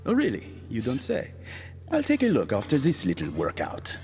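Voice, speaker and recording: An actor playing an irritable, world-weary hired killer in an audio drama, dry, sarcastic and menacing. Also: male voice, medium pitch, wildly swooping pitch, normal speed, a little mellow, big-room sound, soft background music.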